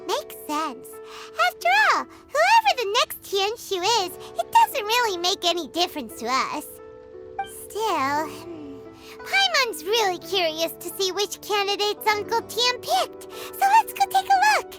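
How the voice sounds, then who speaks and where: A tiny childlike fairy sidekick from a video game. The voice is extremely high and squeaky, lively and exaggerated, full of curiosity. Music plays behind it. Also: female voice, measured pace, heavily acted. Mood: curious. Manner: cute, exaggerated, speaks in third person. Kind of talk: scripted video game dialogue.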